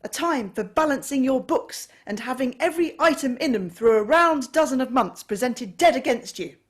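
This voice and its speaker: A woman voicing a bitter, miserly old man who answers with loud, indignant outbursts. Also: medium pitch, big pitch swings, a little slow, pitched above the performer's own voice. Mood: scornful.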